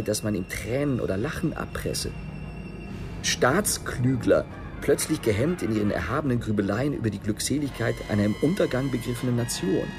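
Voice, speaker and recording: A man giving an articulate, rhetorical reading of a literary text for the radio. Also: medium pitch. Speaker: reader of literary texts.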